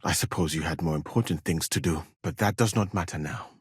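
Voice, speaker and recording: An actor playing a courteous, learned physician from Mali in a medieval video game, speaking in formal, measured phrases with gentle gallantry. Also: male voice, sits low, breathy edge, no room sound, studio quality. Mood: friendly, composed.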